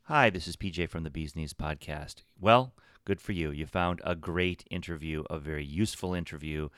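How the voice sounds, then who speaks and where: A man's voice: extremely low pitch, moderate pace, a bit bright, smooth. A man hosting a podcast interview, upbeat and encouraging, with a few fillers. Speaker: podcast host.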